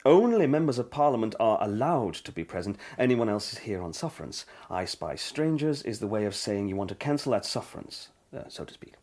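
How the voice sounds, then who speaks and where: An actor playing a diffident, educated young British army officer with an English accent and a halting, stammering manner. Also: male voice, on the low side, very animated, darkish tone. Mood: nervous, apologetic. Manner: hesitant, stammering, apologetic. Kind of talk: radio drama acting.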